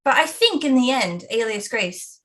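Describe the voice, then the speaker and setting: A woman's voice: on the high side, expressive, normal speed, fairly bright tone. A young woman in casual book chat, gushing and peppering her speech with "like". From Wales. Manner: gushing, lots of "like" fillers. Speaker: booktuber co-host.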